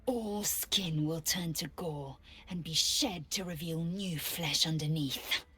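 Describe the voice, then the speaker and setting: A woman playing a stern, haughty alien warrior in a fantasy video game, speaking in a clipped, disdainful, commanding way with a husky rasp. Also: low voice, takes it slowly, fairly bright tone, exaggerated voice. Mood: grim, stern. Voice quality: husky, raspy.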